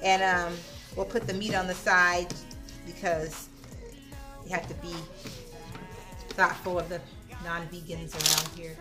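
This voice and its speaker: A woman's voice: fairly low pitch, flat delivery, measured pace, pauses often, over music. A woman in her thirties chatting and teasing while filming a cooking vlog in her home kitchen. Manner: chatty, teasing, laughs easily. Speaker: vlogger. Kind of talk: casual cooking vlog chat.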